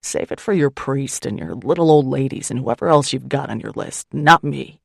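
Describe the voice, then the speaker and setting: Woman narrator putting on a surly exhausted man, hoarse and scratchy, barking, very low, gravelly, dry sound. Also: expressive, normal speed, no echo, exaggerated voice. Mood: angry. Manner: barking, growling retorts. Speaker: audiobook narrator.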